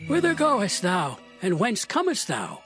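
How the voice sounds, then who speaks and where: A high-voiced, pleading old man. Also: wildly swooping pitch, a little slow, a bit bright, soft background music, full character voice. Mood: concerned, welcoming. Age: older. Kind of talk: dramatized scripture reading, archaic English.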